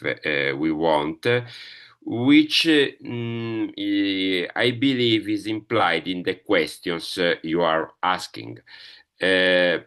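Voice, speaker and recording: Slow, deep-voiced Italian man. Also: fairly bright tone. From Italy. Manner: halting, many fillers, searching for words. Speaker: panelist. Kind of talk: panel talk.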